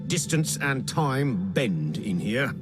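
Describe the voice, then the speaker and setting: An actor playing a genteel, whimsical gentleman with a jaunty manner. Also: mid-range voice, very animated, slow pace, over loud music. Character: chipper posh British station gentleman. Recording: game score playing loudly behind.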